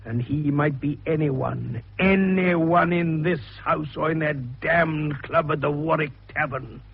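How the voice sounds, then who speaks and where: Husky, creaking old detective. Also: male voice, fairly high pitch, takes it slowly, heavily acted. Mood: ominous, deliberate. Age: older. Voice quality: husky, gravelly. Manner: genial, patronising, interrupting. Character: shrewd, avuncular old male amateur detective. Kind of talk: radio drama acting. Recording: tinny, band-limited radio broadcast.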